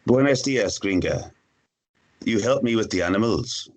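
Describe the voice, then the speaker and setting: Man acting guarded Spanish-speaking farmer, low husky voice, terse, blunt, halting, screen drama. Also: lively intonation. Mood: gruff, reserved. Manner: terse, halting, plain-spoken. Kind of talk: read-through of a screen drama.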